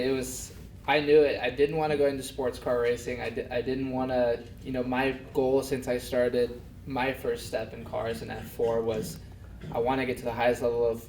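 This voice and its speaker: A young man from Denmark talks casually as an interview guest, pausing on fillers and restarting his sentences. His voice sits at an ordinary pitch. He is picked up from a little way off the mic, in a roomy pub with some noise behind. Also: distant mic. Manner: soft-spoken, halting with many fillers. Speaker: guest, race car driver.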